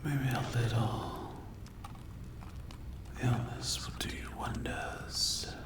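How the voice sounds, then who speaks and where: A performer voicing a menacing, taunting sleep paralysis demon in a breathy whisper for a dark fantasy ASMR roleplay. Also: mid-range voice, fairly even intonation, reverberant. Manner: slow, drawn-out, taunting delivery with rhetorical questions.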